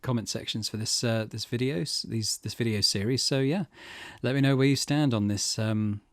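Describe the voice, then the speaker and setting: A man's voice: mid-range voice, normal speed, no room sound, studio quality. A man hosting a gaming video, giving casual let's play commentary in a thoughtful, somewhat hesitant way.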